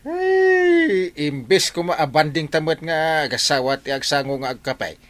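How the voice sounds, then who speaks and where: A man's voice: on the high side, sing-song, a little slow, a bit bright. A man acting a fed-up, grumbling village husband in a comedy radio drama, with an Ilocano accent.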